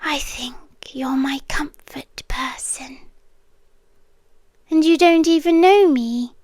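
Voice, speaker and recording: Woman acting a slow, high-voiced, whispery British yandere stalker. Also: no room sound, clean studio sound. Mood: confessional, longing. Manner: hushed, deliberate, slipping often into whispers. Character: obsessive yandere stalker girl, intense, soft-spoken, longing, menacing. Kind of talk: ASMR roleplay, intimate monologue. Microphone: close microphone.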